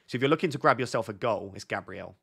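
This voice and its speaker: Hoarse man streaming fantasy football. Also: fairly low pitch, expressive. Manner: thinks aloud, reads questions from chat, talks quieter and slower. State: losing his voice, sore throat. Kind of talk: live stream chat answering viewers. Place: indoor home studio at a desk.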